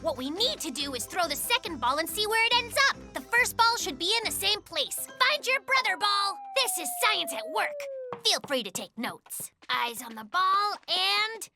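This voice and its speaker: Very high-pitched cocky bear cub. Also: female voice, big pitch swings, moderate pace, vocal fry, full character voice. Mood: smug. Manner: cocky, grandly self-assured. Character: boastful, inventive young male bear cub. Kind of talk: animated children's cartoon dialogue. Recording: loud music behind the voice.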